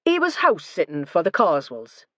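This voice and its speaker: A woman's voice: on the low side, wildly swooping pitch, normal speed, dry recording. A woman voicing a forthright, blunt middle-aged police inspector in an audiobook.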